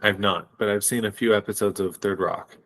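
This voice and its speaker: A man's voice: on the low side, steady tone, fairly quick, fairly bright tone. A man co-hosting a podcast in casual chat, agreeable in the way he responds. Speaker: podcast co-host.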